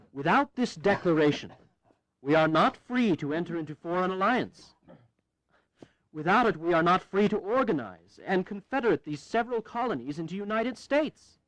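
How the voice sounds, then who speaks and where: A man's voice: on the high side, no room sound, close to an everyday voice, rough recording. Resolute revolutionary orator, acted by a man, dry recording. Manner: measured, declamatory, firm. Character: resolute, fervent revolutionary statesman arguing for independence. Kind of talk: dramatized oratory, audio history drama.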